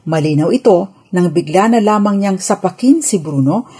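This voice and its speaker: A woman with a Filipino accent giving a scripted legal explainer on radio as a lawyer, formal, measured and instructive. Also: mid-range voice, animated, mellow, slightly rough.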